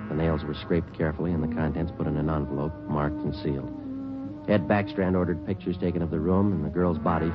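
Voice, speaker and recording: An actor playing a terse, matter-of-fact police detective, deadpan, in clipped old radio drama style on an old radio recording. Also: male voice, low voice, dull audio, close to an everyday voice. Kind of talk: 1940s-50s radio acting, clipped narration.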